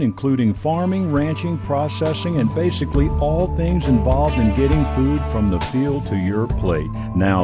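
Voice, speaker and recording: A man hosting a talk radio show, relaxed, with slow drawn-out promo reads. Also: on the high side. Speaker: radio host.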